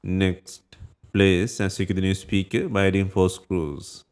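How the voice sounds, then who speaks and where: A man's voice: low-pitched, flat delivery, a little slow, darkish tone, clean tone. A man reads scripted instructional narration for a repair tutorial, giving terse step-by-step directions.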